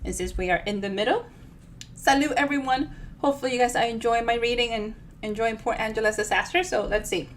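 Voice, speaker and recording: A woman giving an expressive storytime reading of their own fiction, with chatty, informal asides. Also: on the high side, brisk, a little hiss or hum. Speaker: author reading their own novel on a live show.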